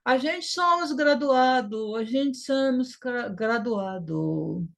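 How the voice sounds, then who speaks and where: A woman's voice: a little high, animated, takes it slowly, creaky. A woman with a Brazilian Portuguese accent giving an informal English lesson, sounding out syllables emphatically and repeating key words. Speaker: English teacher.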